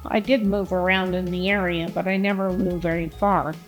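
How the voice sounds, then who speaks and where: Lower-voiced older woman reminiscing. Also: level tone, moderate pace, soft background music. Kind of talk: interview recollection.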